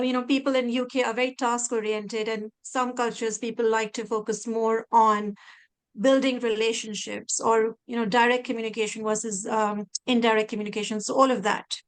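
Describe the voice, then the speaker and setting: A woman's voice: on the high side, smooth. A woman from Pakistan, an executive coach, speaking earnestly on a panel over a video call.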